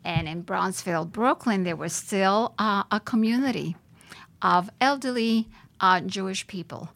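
An older woman from Puerto Rico talks about her life as a podcast guest, thoughtful and hesitant, often pausing and restarting. Her voice carries a gravelly fry. The recording is close and dry, with no room around it. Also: medium pitch, a bit bright, no echo. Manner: reflective, many hesitations and restarts. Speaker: judge, interview guest. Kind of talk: podcast interview.

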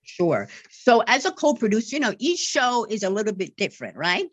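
A woman's voice: medium pitch, big pitch swings, fairly quick, no echo. A woman guest who stutters, explaining things patiently with many repeated words and tag questions. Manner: stutters, repeats words, says "right?" often. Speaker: marketing and media relations director, podcast guest. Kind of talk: interview answers.

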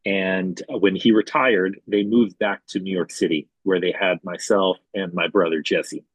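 A man in his forties, from Hawaii, a restaurant executive telling his life story in a relaxed, chatty way with fillers. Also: low voice, unhurried, husky, no echo, lo-fi sound. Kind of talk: conversational storytelling.

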